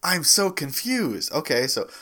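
A man's voice: a little high, sing-song, a little slow, sharp tone. A man co-hosting, chatting casually and joking along in loose banter. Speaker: podcast co-host.

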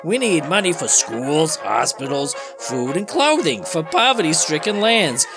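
A man's voice: fairly high pitch, very animated, measured pace, music in the background. A man playing an earnest, formal United Nations official in a comedic comic-book reading, speaking in a stilted, declamatory way.

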